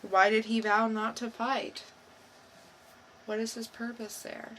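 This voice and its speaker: A woman co-hosting a podcast, giving a casual unscripted review in a halting, unsure way, thinking aloud. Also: fairly high pitch, little pitch movement, fairly bright tone, a little hiss or hum, rough recording. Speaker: podcast co-host reviewing comics.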